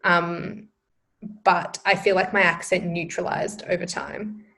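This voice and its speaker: A young Australian woman with an Australian accent, co-hosting a casual podcast chat, chatty and quick to laugh. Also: fairly low pitch, level tone, pushed and bright. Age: young adult. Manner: chatty, laughs often, self-deprecating. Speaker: podcast co-host.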